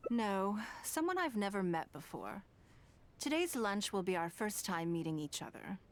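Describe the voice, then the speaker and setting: A woman's voice: medium pitch, big pitch swings, normal speed, natural voice. An actress playing a poised, self-assured wealthy businesswoman in a video game, courteous and shrewd, with composed, formal diction. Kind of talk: scripted video game voice acting.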